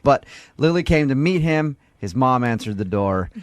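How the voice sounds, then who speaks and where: A man's voice: medium pitch, animated, moderate pace, no room sound. A man with an American accent hosting a morning radio show, bantering and interviewing callers.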